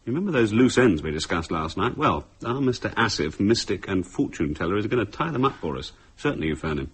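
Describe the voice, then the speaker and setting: An actor with a British accent playing a wry, brisk, curious husband in a radio drama, his voice husky. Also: lowish voice, very animated. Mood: wry, decisive. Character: dry, brisk, curious husband eager for answers.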